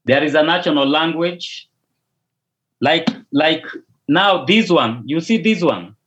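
A man's voice: on the high side, slow pace, a bit bright. A Kenyan man and pastor, a native Luo speaker, answering interview questions hesitantly, often repeating and restarting words. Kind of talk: interview answers over a call. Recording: dull lo-fi audio.

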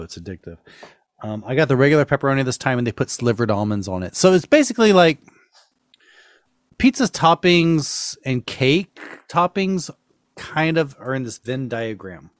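A man hosting a podcast in casual chat, telling things with drawn-out emphasis. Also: mid-range voice, lively intonation, no echo, clean studio sound. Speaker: podcast host.

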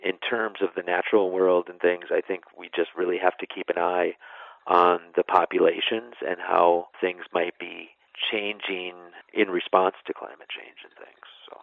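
A man's voice: low voice, flat delivery, normal speed, vocal fry, no echo, phone-quality sound. A man answering interview questions informally as an expert, explaining things and sometimes letting sentences trail off. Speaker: national park superintendent, interview guest.

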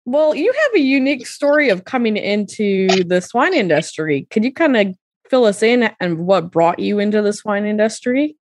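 A woman's voice: mid-range voice, big pitch swings, normal speed, a little mellow, no echo. A woman hosting a podcast, asking questions and commenting in a conversational interview style. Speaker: podcast host.

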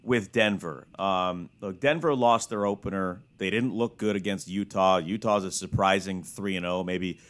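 A man's voice: low-pitched, moderate pace, few pauses, a bit bright. A man working as a sports studio host, talking through basketball analysis in a casual, conversational way.

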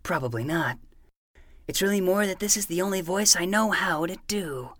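A woman's voice: lowish voice, animated, moderate pace, a bit bright, no echo. A woman voicing an earnest, precocious twelve-year-old boy in a fiction podcast, with a matter-of-fact manner. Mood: hesitant, earnest.

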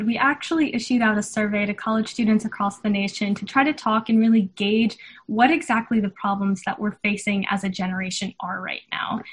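A young woman hosting a student panel on a podcast. She speaks earnestly and articulately, in a slightly scripted way. Her voice has a breathy, husky edge at an ordinary middle pitch. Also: poor audio. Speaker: podcast host.

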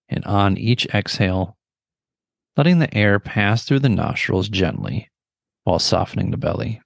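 A middle-aged man leading a guided meditation with measured, gentle, instructional phrasing and pauses between phrases. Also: fairly low pitch, vocal fry, dry recording, clean studio sound.

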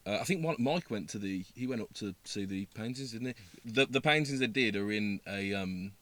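A man with a Birmingham accent speaks in a very low voice. He talks casually about playing a role on stage, pausing with ums and restarting his sentences often. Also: fairly bright tone, dry recording. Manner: hesitant, many fillers and restarts. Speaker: actor in a stage play. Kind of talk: casual interview chat.

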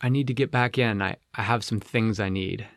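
A man voicing an earnest, rather glum and halting male tiger in a children's story. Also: lowish voice, no echo, clean studio sound, lightly acted. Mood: hesitant. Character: downcast, earnest male tiger, cat jokester and guardian.

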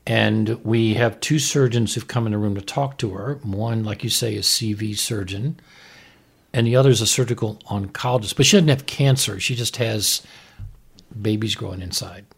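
A mature man with a rough, husky voice gives casual expert commentary on a podcast. His manner is blunt and dryly incredulous, with emphatic points. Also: lowish voice, normal speed. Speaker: podcast co-host, fetal medicine doctor.

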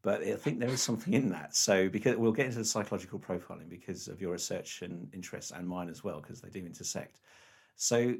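A man with a low, husky voice hosts a relaxed interview. He is playful and jokey and fills his questions with ums and uhs. His delivery stays loose and conversational throughout. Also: quick pace, few pauses. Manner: playful, jokey, full of fillers. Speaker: host. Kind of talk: casual interview chat.

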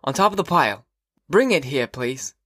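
Man playing a sarcastic old teacher, raised slow lecturing voice, dry sound. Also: a little high, animated, a bit bright, dry recording, voice pushed up.

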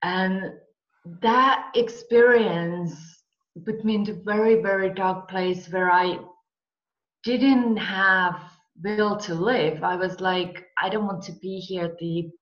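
A Finnish-accented woman talks slowly as an interview guest, sharing personal stories and explanations. Her voice sits at a middle pitch, and the sound is roomy and a little dull. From Finland. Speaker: interview guest, coach and mentor.

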